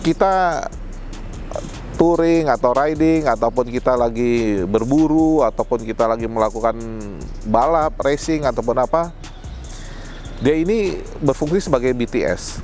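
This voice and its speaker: A man's voice: medium pitch, measured pace, long pauses, a little mellow, faint music behind. A man giving a casual product demonstration, explaining things colloquially and speaking directly to viewers. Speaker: product presenter.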